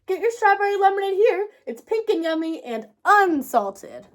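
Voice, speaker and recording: A woman plays a proud young girl hawking her wares in a children's storybook. The voice is very high and lively, perky and pleased with itself. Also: normal speed. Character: proud, perky girl selling pink lemonade. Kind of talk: children's storybook read-aloud.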